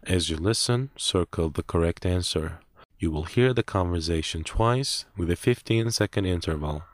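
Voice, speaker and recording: A man reading a scripted listening-test script in a measured, clear way, as narrator and interviewee. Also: low-pitched, dry recording.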